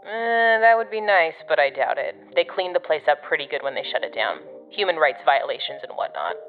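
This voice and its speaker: Jaded female operative, low voice, dry sarcasm, radio-filtered, music underneath, podcast drama. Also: lively intonation, brisk, narrow, tinny audio. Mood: impatient. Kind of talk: audio fiction podcast acting.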